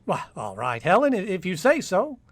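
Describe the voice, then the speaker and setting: Radio drama confidant male actor: dismissive, soothing, patronising man with highly varied intonation. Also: huge pitch swings, normal speed, exaggerated voice. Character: dismissive, amused male friend and former rival in love. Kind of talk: old-time radio drama acting.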